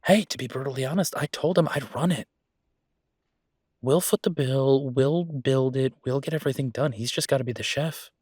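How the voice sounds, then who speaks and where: A man playing an easygoing, passionate restaurateur boyfriend in a conversational roleplay audio drama, husky and chatty with emphatic repetition. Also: mid-range voice, normal speed, a little mellow, no echo, clean studio sound, close to an everyday voice. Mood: enthusiastic, opinionated.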